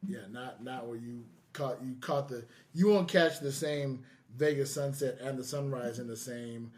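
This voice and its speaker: A man's voice: mid-range voice, measured pace, a little mellow. A man hosting a podcast in casual chat, often repeating his phrases for emphasis. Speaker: podcast host.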